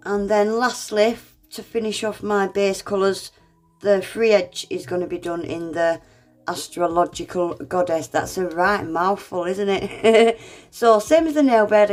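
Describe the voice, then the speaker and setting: A woman's voice: mid-range voice, measured pace, darkish tone, soft background music. Bunged-up woman narrating nail art. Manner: chatty, self-deprecating, addresses viewers as guys. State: congested, unwell. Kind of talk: casual step-by-step tutorial narration.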